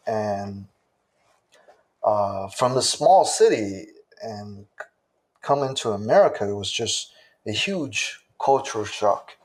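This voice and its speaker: Interview guest, a man from southern China; unhurried, deliberate delivery. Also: mid-range voice, darkish tone. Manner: slow, measured, with frequent "uh" pauses. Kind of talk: casual interview answers.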